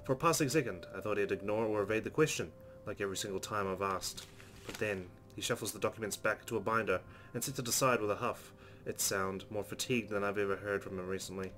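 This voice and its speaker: A man reading first-person visual novel narration aloud as a let's player, in an earnest, expressive storytelling style. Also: fairly low pitch, fast, a little hiss or hum. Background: soft game music.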